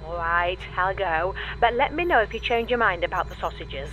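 Muffled phone-call mum, middle aged, naggy and fussy, very up and down voice, comedy drama. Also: female voice, mid-range voice, lively intonation, normal speed, a bit bright, a little hiss or hum. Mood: nagging. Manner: scolding, fussy, breezily dismissive. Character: nagging, dismissive mother of a grown daughter. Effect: audio call filter. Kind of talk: scripted comedy audio drama. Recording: dull lo-fi call line.

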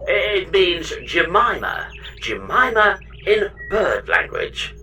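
A woman playing a chatty, jealous, mischievous AI pod companion in a comedy sci-fi audio drama, with theatrical, excitable delivery. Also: fairly low pitch, takes it slowly, full character voice. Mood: cheerful.

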